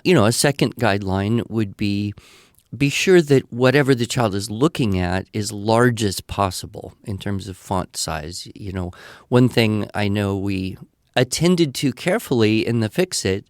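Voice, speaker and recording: A man speaking conversationally as a podcast expert, explaining advice in a measured way with emphatic repetition. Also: lowish voice, no echo, clean studio sound. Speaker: podcast expert answering listener questions.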